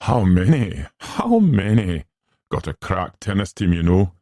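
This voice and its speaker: A man's voice: low voice, big pitch swings, unhurried, mellow, no echo, studio quality, pitched above the performer's own voice, heavily acted. A man voicing a smug, boastful young schoolmaster in a storybook, hearty and pleased with himself in clipped, slangy phrases. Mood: boastful, beaming.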